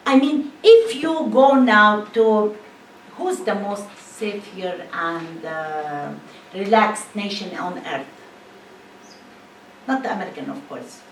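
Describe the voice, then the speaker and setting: A woman's voice: mid-range voice, expressive, slow pace. A woman from northern Israel, speaking emphatically and asking rhetorical questions in a public talk. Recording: echoey room, mic at a distance, faint hiss.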